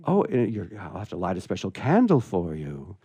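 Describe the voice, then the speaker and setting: A mellow male voice, dry and close, reads a comic story aloud as a radio host would. He slips playful asides into the reading and sounds amused by the material. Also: low voice, wildly swooping pitch, moderate pace, husky, dry recording.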